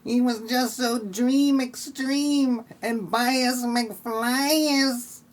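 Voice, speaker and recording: A woman playing a smug television news anchor in a comedy audio play, with a stilted, deliberate manner. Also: on the high side, fairly even intonation. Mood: smugly approving.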